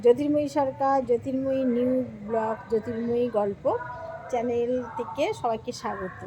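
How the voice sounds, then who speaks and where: A middle-aged woman talks to her viewers in a friendly, easygoing way while she eats. Her voice sits high. Clear background noise runs under the home recording. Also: fairly even intonation, brisk. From Bengal. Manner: chatty, addresses viewers as friends. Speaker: vlogger. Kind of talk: casual eating vlog chat.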